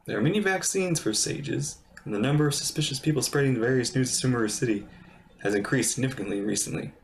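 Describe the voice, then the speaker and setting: A man's voice: medium pitch, fast, creaky, slight background noise. A man giving casual, blunt live commentary as a streamer reacting to a game.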